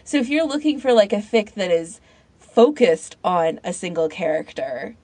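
A woman with a mid-pitched voice talks casually as a podcast co-host. She is informal and opinionated, and says "like" often. The recording is dry. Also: big pitch swings, moderate pace, a little mellow, no echo. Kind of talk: casual chat.

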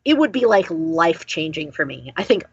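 A woman with a husky, medium-pitched voice co-hosts a casual podcast chat. Her track is very dry and slightly dull and lo-fi. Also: very animated, normal speed, no room sound. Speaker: podcast co-host.

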